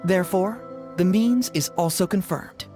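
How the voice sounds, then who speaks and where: A confident young detective from a video game. He talks in a smooth, teasing, glib way, laying out his reasoning with wry assurance, while music plays clearly behind him. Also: high voice, moderate pace. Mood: assured, probing. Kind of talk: English video game voice acting. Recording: studio voice under game music. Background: game background music.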